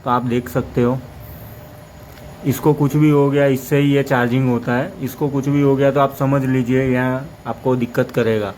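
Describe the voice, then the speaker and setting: A man talks through a gadget demo in a flat, even tone, rambling from point to point. His voice is mid-pitched and sits over faint background ambience. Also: moderate pace, a little hiss or hum, rough recording. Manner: rambling, repeats "plus" between points. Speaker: motorcycle vlogger. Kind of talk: casual product demo.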